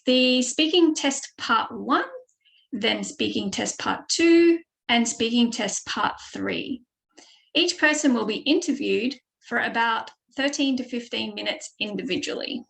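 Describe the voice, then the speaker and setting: Gravelly Australian woman teaching online. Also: a little high, a little slow, low-quality recording. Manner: explanatory, steady, with frequent ums. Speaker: IELTS speaking tutor. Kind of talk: online webinar presentation.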